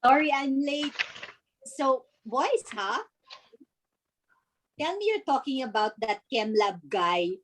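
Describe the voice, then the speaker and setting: A woman's voice: high-pitched, expressive, takes it slowly, long pauses, noisy background. A woman reading and chatting casually on a video call. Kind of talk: casual chat and script reading.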